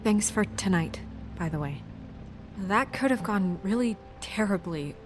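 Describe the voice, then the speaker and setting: A woman's voice: mid-range voice, expressive, unhurried, faint music behind, natural voice. A woman voicing an earnest, businesslike office administrator in a video game, with naturalistic, hesitant phrasing. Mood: tentative, sincere. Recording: faint score under the voice.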